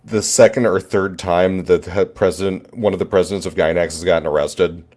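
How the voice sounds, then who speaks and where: A man's voice: low-pitched, fairly even intonation, a little fast, a little mellow. A man in his forties in a casual chat, dry and reflective, often restarting his sentences. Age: 40s.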